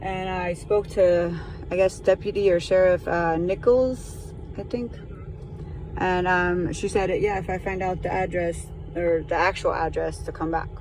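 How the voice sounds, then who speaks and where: A woman's voice: fairly low pitch, steady tone, darkish tone. Hesitant woman caller with background noise. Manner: hesitant, halting. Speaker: caller reporting to sheriff's department. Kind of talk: explanatory phone conversation. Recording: noisy phone call, car and traffic noise.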